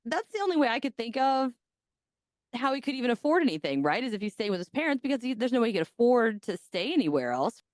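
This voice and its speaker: Lively, bawdy woman podcast host. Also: fairly high pitch, no echo, studio quality. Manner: bawdy, joking, laughs often. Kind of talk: casual podcast chat retelling a story.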